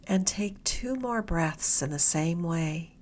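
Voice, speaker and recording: Female meditation guide, slightly low register, soft and a touch breathy, gentle unhurried delivery with long pauses. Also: animated. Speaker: meditation guide, mindfulness mentor.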